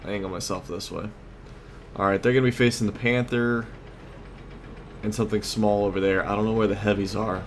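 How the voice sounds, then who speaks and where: Low-voiced man commentating on a video game. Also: steady tone, brisk, long pauses. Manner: thinks aloud in short tactical remarks. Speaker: gamer, host of a let's play series. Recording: clear background game noise. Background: vehicle and battle sounds of a tank game.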